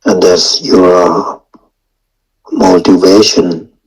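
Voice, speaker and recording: A male meditation teacher with a low, husky voice gives a guided meditation talk. He speaks extremely slowly, in short halting phrases separated by long pauses. His English phrasing is non-native. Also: level tone, rough recording.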